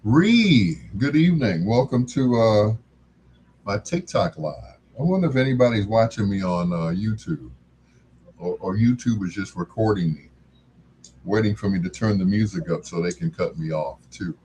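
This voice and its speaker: An older man hosting a music livestream, chatting casually in a laid-back, folksy, unhurried way. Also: lowish voice, animated, darkish tone, a little hiss or hum. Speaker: livestream music show host.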